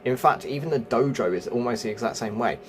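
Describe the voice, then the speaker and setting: A man's voice: mid-range voice, fast talker, faint music behind. A man giving casual game walkthrough commentary as a video host, explaining things and thinking aloud.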